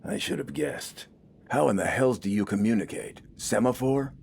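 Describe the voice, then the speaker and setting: A man voicing a gruff, bossy, scornful army corporal in an audio story, barking curt, sarcastic orders. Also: mid-range voice, animated, moderate pace, darkish tone, slight background noise, voice pushed up. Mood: bitter, impatient.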